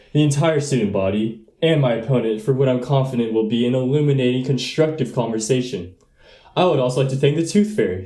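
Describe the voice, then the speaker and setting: A man's voice: medium pitch, warm tone, roomy sound, close to an everyday voice. A young American man playing a brash boy running for student president, giving pompous political speeches in a comedy radio play. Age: young adult.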